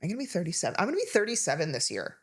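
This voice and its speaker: A woman in her thirties co-hosting a health podcast, talking casually and listing symptoms rapidly with emphasis. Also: fairly low pitch, wildly swooping pitch, slightly rough. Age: 30s. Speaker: podcast co-host, health coach.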